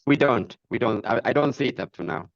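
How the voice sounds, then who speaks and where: A man's voice: medium pitch, fairly quick, gravelly, dry recording, dull audio. A man moderating a webinar over a video call, polite and formal, with fillers.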